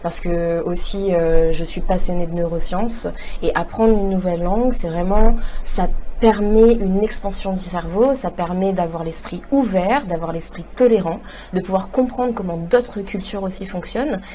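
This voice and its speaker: A woman's voice: on the low side, moderate pace, mellow, a little hiss or hum. A woman, a talk show guest answering earnestly and enthusiastically, with many fillers and restarts. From Réunion. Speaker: guest, entrepreneur. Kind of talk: conversational interview answers.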